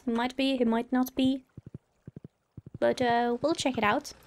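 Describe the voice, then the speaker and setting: A woman's voice: on the high side, level tone, a little slow, darkish tone, slight background noise. A woman giving casual let's play commentary as a host, chatty and a little hesitant, musing to herself. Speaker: gaming video host. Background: game music.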